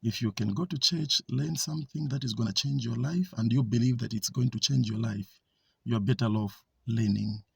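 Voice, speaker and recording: A man with a Zambian accent gives an informal talk, pausing often on fillers and restarting his sentences. His voice is gravelly and a little husky, at a middle pitch. The sound is dry and close, with no room echo. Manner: hesitant, with frequent fillers and restarts. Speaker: speaker giving a talk.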